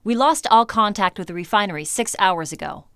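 An actress playing a composed intelligence officer in a video game, giving matter-of-fact briefings. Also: female voice, mid-range voice, expressive, a little fast, fairly bright tone, clear, resonant tone, dry recording, studio quality. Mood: businesslike. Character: composed female covert-agency intelligence officer. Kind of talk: video game cutscene acting.

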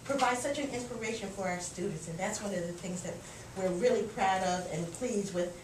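A woman's voice: medium pitch, fairly quick. Woman provost giving a gracious welcome, far off mic, echoey, some noise. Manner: gracious, formal. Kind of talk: formal welcome remarks. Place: small classroom. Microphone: far camera. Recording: echoey room from far off, noisy.

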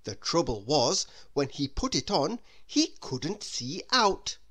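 A woman narrates a children's story in a lowish voice with sweeping intonation. She takes her time, reading very slowly and stretching out phrase endings expressively. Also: sing-song, husky. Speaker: storybook reader. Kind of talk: children's read-aloud narration.

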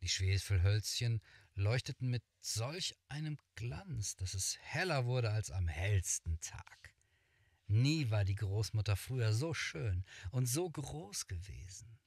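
A middle-aged man reading a fairy tale aloud, slow and hushed, sometimes whispering, in a small room. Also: fairly low pitch, animated, dry recording. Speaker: storyteller. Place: small cluttered room, indoors.

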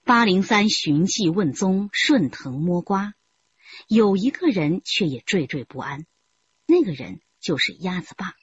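A woman narrating a radio crime drama in a measured storytelling manner. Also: fairly low pitch, sing-song, breathy edge, exaggerated voice. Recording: dull lo-fi broadcast audio.

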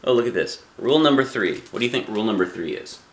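A middle-aged man reading aloud to a child as a dad would, playful and expressive, with chatty asides and questions. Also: medium pitch, quick pace. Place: small living room indoors. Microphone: camera a short distance away.

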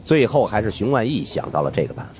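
Male audiobook narrator, deep register, lively swings in pitch, colloquial first-person storytelling. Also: fast.

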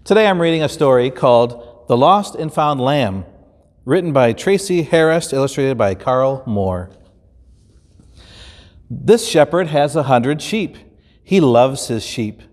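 A man in his fifties with an American accent, a pastor reading a children's story aloud in an expressive, deliberate way. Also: medium pitch. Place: large indoor church stage. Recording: roomy hall with long reverb.

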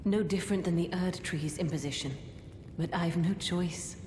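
An actress voicing a grave, guilt-ridden mother who runs a manor, speaking in measured, solemn, formal lines. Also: fairly low pitch, noisy background, lightly acted. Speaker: game character. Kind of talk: video game cutscene acting. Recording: roomy echo. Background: game music.

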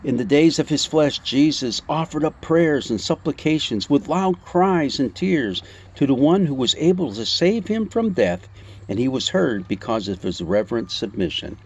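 A man in his sixties giving an informal Bible talk, explaining scripture in halting, emphatic phrases with rhetorical questions. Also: medium pitch, sing-song, a little mellow, slightly rough, muffled recording. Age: 60s. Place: outdoors on a covered porch among greenery. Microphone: phone or camera at arm's length.

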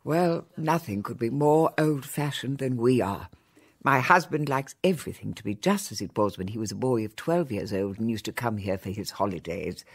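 A woman's voice: rumbling low, lively intonation, moderate pace, husky, exaggerated voice. A woman playing a genteel elderly grandmother who chats in long, well-bred lists, in a radio play. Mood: chatty. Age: older. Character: genteel elderly English grandmother, chatty and worried. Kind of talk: radio drama acting.

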